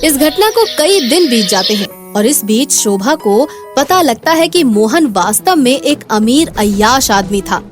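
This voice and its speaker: A woman's voice: a little high, animated, a little slow, hardly any pauses, faint music behind. A woman narrating a scripted moral story as a storyteller.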